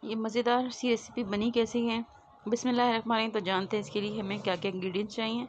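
Casting: female home cook, fairly high voice, casual recipe narration with some background noise. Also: flat delivery, fast talker, dull audio. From Pakistan. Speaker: home cooking show host. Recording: clearly noisy homemade voice-over recording.

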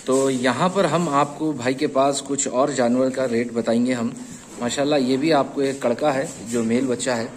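A man's voice: medium pitch, steady tone, moderate pace, slight background noise. A man with a Hyderabadi accent hosting a casual on-site interview at a goat farm, chatty and repeating answers back. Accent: Hyderabadi Hindi-Urdu. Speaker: host of a goat channel.

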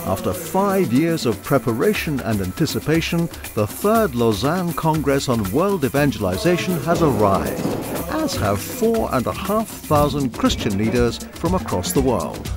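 Animated male documentary narrator over music. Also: medium pitch, unhurried. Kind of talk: scripted narration. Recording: music bed under the voice. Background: loud music.

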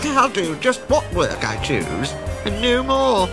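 A man's voice: high voice, wildly swooping pitch, slow, loud music behind the voice, voice pushed up, full character voice. A man voicing a vain, cheerful female railcar engine with an affected, self-important manner in a children's storybook. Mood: smug. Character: vain, haughty, cheerful female diesel rail car.

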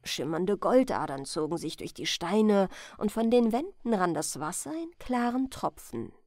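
A woman's voice: mid-range voice, sing-song, unhurried, a little mellow, no room sound, studio quality. A woman reading a bedtime fairy tale as a scripted narration.

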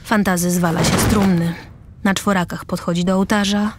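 A woman's voice: fairly low pitch, fairly even intonation, moderate pace, noisy background. A woman reading stage directions as the narrator of a scripted radio play.

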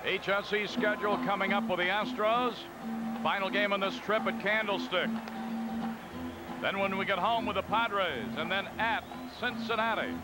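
A man calling baseball play-by-play in a calm, conversational way, reading out stats between pitches. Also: moderate pace, over loud music, poor audio. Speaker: play-by-play announcer. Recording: broadcast booth over loud crowd noise. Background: stadium crowd ambience.

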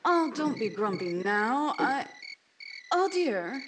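A woman acting as a gushing housewife in a radio play, high-voiced and unhurried. Her pitch swoops about as she fusses, with chirping insects behind. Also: very animated, noisy background. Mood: fussy, bossy. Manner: gushing, fussy. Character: chatty, gushing, bossy suburban wife. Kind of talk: old-time radio drama acting. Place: indoors at home, video call setup.

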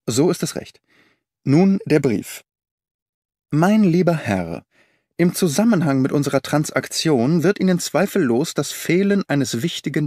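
A man reading a detective story aloud as an audiobook, giving each quoted character a slightly different tone in a measured storytelling manner. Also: mid-range voice, darkish tone, dry recording, clean studio sound. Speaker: audiobook narrator. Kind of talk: scripted audiobook narration with voiced dialogue.

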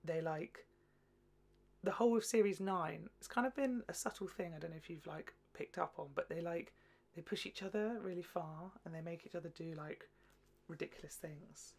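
A man's voice: a little high, moderate pace, gravelly, a little mellow. Young man in casual reaction chat. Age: young adult. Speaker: reaction show host, explaining as a fan. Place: small room at home, indoors. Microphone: desk microphone between two hosts.

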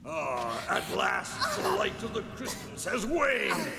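An actor playing a huge evil demon villain in a cartoon, menacing, gloating and theatrically grandiose. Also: male voice, high voice, gravelly, exaggerated voice. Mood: triumphant. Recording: long echoing reverb, music behind.

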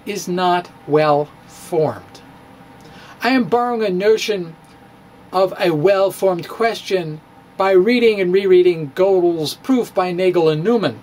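A man's voice: sits high, slight background noise. A middle-aged man giving a dramatic reading of his own physics blog, wry and theatrical, with playful asides, at a stand mic in a small room. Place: small room in front of bookshelves. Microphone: studio mic on stand in front of him.

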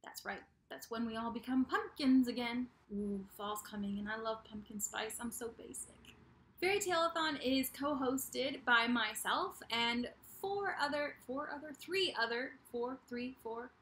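Bubbly high-pitched young woman vlogger. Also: unhurried. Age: young adult. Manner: bubbly, animated, playful. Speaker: YouTube host of a book channel. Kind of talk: casual vlog announcement to camera. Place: small bedroom indoors. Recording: echoey untreated room.

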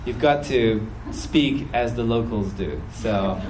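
A British man in his forties with an accent softened by years in America, giving a relaxed, good-humored Sunday sermon into a stand microphone. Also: medium pitch, background noise. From British, raised in several countries. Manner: conversational and good-humored, many "you know"s, puts on quick voices. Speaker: church speaker. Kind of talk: Sunday sermon with personal testimony. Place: church hall stage.

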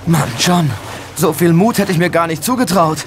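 An actor playing an anxious, determined demon hunter with an intense, pressing manner in a horror audio drama. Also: male voice, on the high side, normal speed, natural voice. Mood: relieved, brisk. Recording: noisy scene ambience behind.

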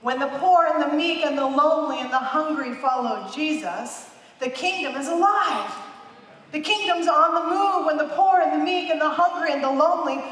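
Brief: woman pastor in her fifties, high voice, emphatic sermon, long hall reverb, heard off-mic. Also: normal speed, fairly bright tone. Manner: emphatic, repeats phrases for emphasis, gestures widely. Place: large dark church stage. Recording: echoey hall, heard from a distance.

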